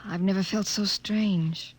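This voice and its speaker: Earnest, breathy-voiced lady scientist. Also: mid-range voice, steady tone, measured pace. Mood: wondering, troubled. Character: earnest, troubled female scientist awakening to forbidden emotion. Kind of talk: 1950s science fiction radio drama acting.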